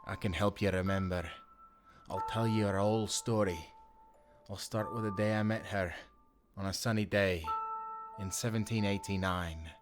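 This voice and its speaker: A man playing a kindly old seafaring grandfather in an audio drama, speaking calmly and reassuringly. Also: on the low side, moderate pace, over music, natural voice. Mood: gentle, reassuring. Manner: calm, reassuring, folksy. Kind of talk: cinematic audio roleplay acting.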